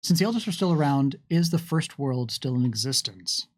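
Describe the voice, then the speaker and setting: A man talking casually and without a script as a game developer on a Q&A stream, wry and wordy, with deliberate phrasing. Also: medium pitch, expressive. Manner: wry, joking asides. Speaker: game developer answering audience questions on a live stream. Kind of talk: casual unscripted Q&A talk, reading out questions.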